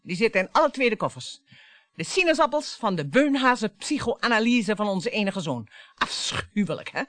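A woman's voice: mid-range voice, big pitch swings, normal speed, fairly bright tone, no room sound. A woman in a Dutch radio play acting a bitter, sarcastic wife who needles her husband in a theatrical, storytelling way. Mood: bitter, sardonic.

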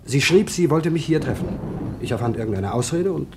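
Male actor: married man confessing, anguished, halting radio-drama delivery, slightly noisy recording. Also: medium pitch, animated, lightly acted. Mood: confessional, troubled. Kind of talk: German radio drama acting.

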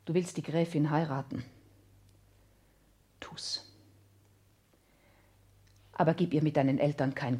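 A woman's voice: deep voice, a little mellow, slightly rough, full character voice. This is a proud woman in a 1960s radio play. She speaks with theatrical intensity and biting scorn, pressing each phrase hard. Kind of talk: 1960s German radio drama acting.

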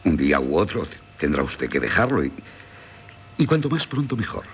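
Casting: gently ironic gentleman tutor, low Castilian voice with wide melodic swings, courteous old radio-play delivery. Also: very animated, a little hiss or hum. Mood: amused, advising. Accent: Castilian Spanish. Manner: measured, polite, theatrical. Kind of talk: 1970s Spanish radio drama acting.

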